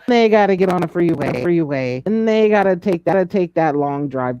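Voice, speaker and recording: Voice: mid-range voice, darkish tone, no room sound. A person in a casual group discussion, speaking emphatically and often repeating phrases for effect. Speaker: panelist in a true crime discussion. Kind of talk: casual chat, speculating in a group call.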